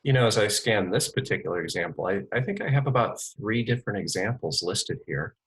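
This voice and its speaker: A man, a lettering artist, talks about his work in a measured, articulate way with occasional fillers. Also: on the low side, lively intonation, fairly bright tone, breathy edge, low-quality recording. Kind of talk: casual interview answers.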